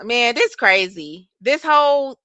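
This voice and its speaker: A woman hosting a casual livestream chat, reading and answering viewer comments. She has a soft voice and speech, and she talks in an animated way, cursing freely. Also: fairly high pitch, slow, highs cut off, a little hiss or hum. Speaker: livestream host.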